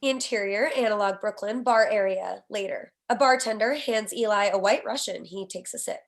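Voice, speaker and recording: A woman's voice: medium pitch, moderate pace. A woman reading out the stage directions of a comedy screenplay as scripted narration.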